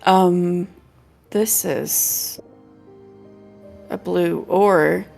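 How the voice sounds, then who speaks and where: A woman's voice: lowish voice, fairly even intonation, very slow, vocal fry, darkish tone. A woman streaming a video game, chatting casually and reading story dialogue aloud, with game music behind her.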